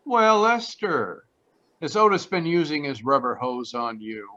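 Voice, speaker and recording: Here is a man performing a wisecracking gumshoe in a golden-age radio mystery. His voice is fairly high and punchy, cocky in delivery, with the dulled sound of an online call. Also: lively intonation, unhurried, sharp tone, slight background noise. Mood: breezy, teasing. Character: wisecracking, cocky male private detective. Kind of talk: old-time radio noir narration and dialogue. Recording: dull online video-call audio.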